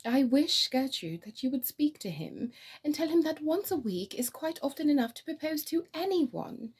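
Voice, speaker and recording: A woman's voice: sits high, sing-song, moderate pace, a little mellow, pitched above the performer's own voice. A woman playing a flighty, witty young society lady in a stage comedy, breezy and mock-indignant. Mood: playful, indignant. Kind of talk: theatrical comedy of manners.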